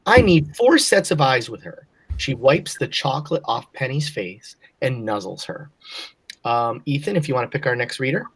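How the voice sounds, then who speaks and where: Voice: medium pitch, very animated, moderate pace, slightly rough, poor audio. A teacher reading a children's novel aloud to a class and giving encouraging prompts.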